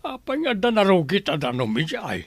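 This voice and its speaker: A man with an Ilocano accent playing a protective old grandfather in an audio drama, speaking slowly and deliberately. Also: sits high, very animated, dry recording. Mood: concerned. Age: older. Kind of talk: radio drama acting.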